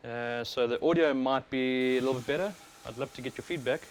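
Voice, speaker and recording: A South African man hosts a live stream in a casual, explanatory way. His voice sits at an average male pitch. He talks informally into a worn wireless mic, with some ambient noise behind him. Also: a little fast. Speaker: livestream host, overclocker. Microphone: wireless worn microphone. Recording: clear steady background noise.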